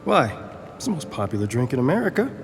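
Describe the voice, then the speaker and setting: An actor playing a breezy, curious commanding officer with a casual, jokey manner in an audio drama. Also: male voice, mid-range voice, big pitch swings, fast talker, big-room sound. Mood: amused.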